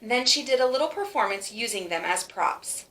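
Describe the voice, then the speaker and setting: A woman reading a children's story aloud in an animated way, stretching words for emphasis. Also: a little high, moderate pace. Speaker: storybook reader. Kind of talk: expressive children's read-aloud. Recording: roomy, echoing sound.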